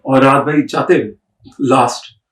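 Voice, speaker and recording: Eager interviewer in a roomy space. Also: male voice, medium pitch, unhurried, distant mic. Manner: familiar, eager questioning. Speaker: podcast host. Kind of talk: casual interview questions. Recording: roomy, from off-mic.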